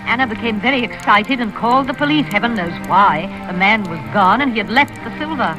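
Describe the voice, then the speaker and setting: A woman's voice: on the high side, fairly quick, over music, natural voice, poor audio. Actress as elderly wealthy woman, haughty, prim, imperious, vintage radio drama, musical backing. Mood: prim narrating. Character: haughty, wealthy old lady in a wheelchair, prim and defiant. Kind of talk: 1940s radio acting, first-person narration and dialogue.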